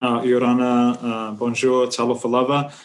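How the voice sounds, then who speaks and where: A man from New Zealand, a guest in a remote interview, speaking thoughtfully with frequent pauses and fillers. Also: mid-range voice, completely flat delivery. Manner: thoughtful, hesitant with many fillers. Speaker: guest, human rights advocate. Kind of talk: conversational interview.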